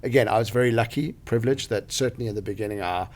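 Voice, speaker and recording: A man's voice: fairly low pitch, rapid-fire, darkish tone. A man from Zambia answering interview questions in a studio, thoughtful, with frequent fillers and restarts. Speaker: guest, venture capital partner.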